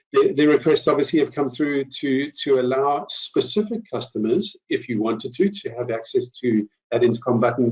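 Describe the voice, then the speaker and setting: A man hosting an informal product update webinar, speaking haltingly with repeated words and rephrasing, over an online call. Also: medium pitch. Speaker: host presenter. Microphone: online meeting call. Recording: muffled lo-fi online call, distant mic.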